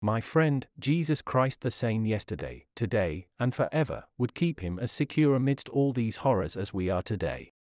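A man's voice: medium pitch, big pitch swings, darkish tone, no room sound. Man reading a solemn sermon in a dry studio. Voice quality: solemn, measured, old-fashioned delivery. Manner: earnest exhortation with rhetorical addresses. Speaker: reader of a historical sermon. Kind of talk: read sermon narration.

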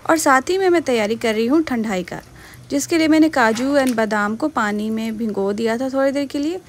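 A woman's voice: fairly high pitch, brisk, a little hiss or hum. A young woman casually narrating her home cooking in a voice-over, chatty and mixing in English words. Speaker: cooking vlogger.

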